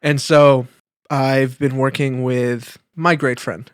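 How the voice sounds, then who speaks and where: Young man, podcast co-host. Middle-range voice, animated casual banter, very dry sound. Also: medium pitch, slow, clean tone, no room sound. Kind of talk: casual chat.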